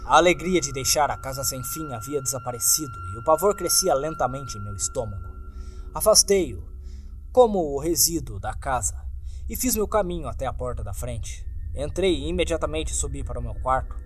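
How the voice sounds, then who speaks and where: A man's voice: a little high, huge pitch swings, moderate pace. A man with a Brazilian Portuguese accent narrating a first-person horror story in a dramatic scripted style. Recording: faint music under the voice. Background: soft background music.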